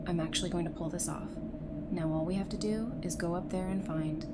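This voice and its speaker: An actress playing a frank, determined and playful psychiatrist surviving the apocalypse, talking informally in an audio drama. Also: female voice, lowish voice, brisk, lightly acted. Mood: excited relief. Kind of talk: fan audio drama acting. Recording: clearly noisy, with ambience behind.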